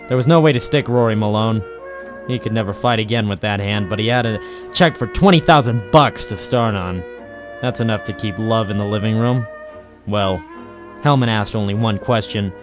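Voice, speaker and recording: An actor playing a cynical, tough private eye, deadpan and wry, in a noir radio drama. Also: male voice, on the low side, normal speed, over music, lightly acted. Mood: cynical narrating. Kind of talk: 1940s film noir radio detective narration.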